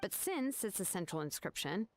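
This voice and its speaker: An actress voicing a laid-back young craftswoman who explains things in a relaxed, matter-of-fact way. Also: medium pitch, moderate pace. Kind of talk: video game voice acting.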